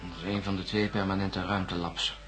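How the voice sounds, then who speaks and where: An actor playing a distrustful, haunted astronaut in a radio drama, answering curtly and defensively. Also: male voice, deep voice, flat delivery, fairly quick, a bit bright, soft background music. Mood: curt, guarded. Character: bitter, distrustful male astronaut under psychiatric questioning. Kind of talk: science-fiction radio drama acting.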